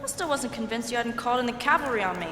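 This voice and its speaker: A woman's voice: on the high side, expressive, racing, fairly bright tone. An actress playing a tough, foul-mouthed female thief leader in an audio drama, sarcastic and brash. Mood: wry. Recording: roomy hall reverb, faint noise.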